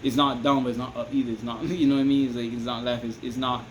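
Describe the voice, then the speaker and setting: A man with a Barbadian accent talks loosely and at a middling pitch, circling back on himself and checking in with the listener. A steady hiss and air-conditioner hum sit under his voice. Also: fast. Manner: rambling, repeats "you understand me?", restarts often. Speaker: vlogger giving advice. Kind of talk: casual advice monologue to camera.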